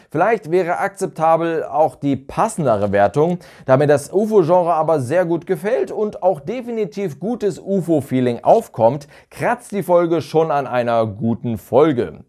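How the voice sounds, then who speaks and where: A man's voice: on the high side, a little mellow. A man, presenting a scripted review to camera as host. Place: small furnished room indoors. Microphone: lapel mic.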